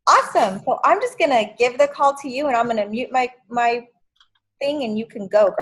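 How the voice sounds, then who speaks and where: A woman's voice: on the high side, animated, moderate pace, a bit bright, breathy edge. A woman in her fifties hosting a sales training video call, chatty and encouraging. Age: 50s. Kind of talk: casual video call meeting.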